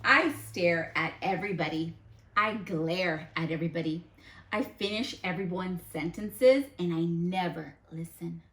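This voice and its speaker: A woman plays a naughty little sunflower seed from a picture book, proudly confessing to being bad. The delivery is slow and theatrical, stretching key words for effect, with noticeable room echo around it. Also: fairly low pitch, a bit bright. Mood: menacing confession. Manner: dramatic, drawn-out key words. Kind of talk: children's storybook character read aloud.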